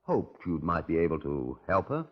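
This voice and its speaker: British detective in old radio drama, formal and probing, very varied pitch, muffled sound. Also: male voice, big pitch swings, moderate pace, husky, heavily acted. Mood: grave, insistent. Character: measured, probing British police detective and narrator. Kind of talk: 1950s radio crime drama, formal narration.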